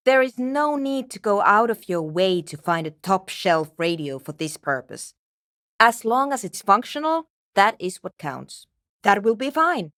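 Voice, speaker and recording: A woman's voice: medium pitch, fairly bright tone, no room sound. Video game role: pragmatic female programmer, blunt and formal, swinging intonation, very dry close sound. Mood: practical, unfussed. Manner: dry, matter-of-fact, precise, formal wording. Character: frank, pragmatic, no-nonsense female radio engineer and programmer. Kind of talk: scripted video game character dialogue.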